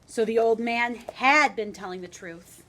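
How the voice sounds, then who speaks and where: A woman's voice: a little high, measured pace, fairly bright tone, slight background noise. A woman teaching a grade school lesson, reading a story expressively and adding conversational asides and questions. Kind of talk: children's read-aloud lesson, storytelling and questions.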